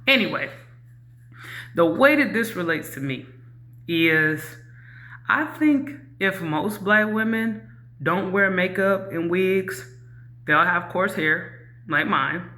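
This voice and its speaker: A woman with a very low voice, talking candidly to camera in a reflective way, with many ums and you-knows. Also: animated, slow, long pauses, pushed and bright. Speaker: YouTuber. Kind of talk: casual talk to camera.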